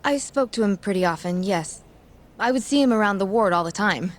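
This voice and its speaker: Woman voicing a hospital worker, polite, hesitant, nervous, mid pitch. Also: animated, a little fast, natural voice. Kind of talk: video game voice acting.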